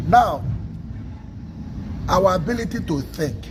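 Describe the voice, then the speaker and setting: A man from Nigeria with a Nigerian accent, an Igbo speaker, giving a measured teaching talk on a livestream and often checking in with "okay?". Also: sits high, animated, background noise. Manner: measured, stresses single words, asks listeners "okay?". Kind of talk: slow, deliberate teaching talk.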